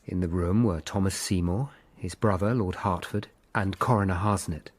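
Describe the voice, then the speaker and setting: An actor playing a sober Tudor lawyer, narrating his investigation in the first person with a husky voice. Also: low-pitched, fairly even intonation, normal speed. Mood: observant.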